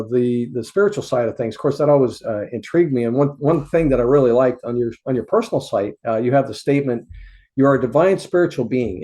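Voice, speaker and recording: A man's voice: mid-range voice, dark tone. A man hosting a podcast interview, introducing his guest and asking questions in a friendly, hesitant way. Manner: friendly, hesitant, many fillers and restarts when asking. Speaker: podcast host.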